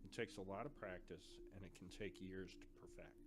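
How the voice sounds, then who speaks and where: Man playing a direct, low-voiced academy man. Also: fairly even intonation, brisk, background noise, natural voice. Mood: instructive. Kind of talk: audio drama acting.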